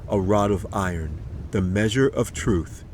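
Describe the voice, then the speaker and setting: Low-voiced man narrating dramatic fantasy. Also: unhurried, a little mellow, slight background noise. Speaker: author reading his audiobook.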